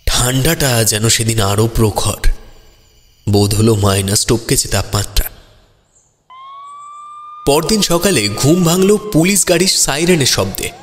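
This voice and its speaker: A man's voice: mid-range voice, animated, unhurried, long pauses, natural voice. A man narrating a scripted detective audio story in the role of the detective's companion. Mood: measured storytelling. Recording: long hall-like reverb. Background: music.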